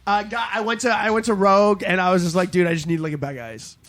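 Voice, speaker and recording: A man's voice: high voice, steady tone, quick pace, a bit bright, soft background music. A man in his late twenties, a band member rambling through a story with lots of fillers. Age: 20s. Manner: rambling, filler-heavy, laughing. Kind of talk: casual backstage chat. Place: backstage green room.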